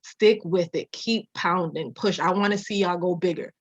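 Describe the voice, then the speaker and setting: Soft-spoken woman on a dull, dry line. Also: fairly low pitch, moderate pace, no echo. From Jamaica, raised in Massachusetts. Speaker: architecture designer, guest. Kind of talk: casual interview storytelling.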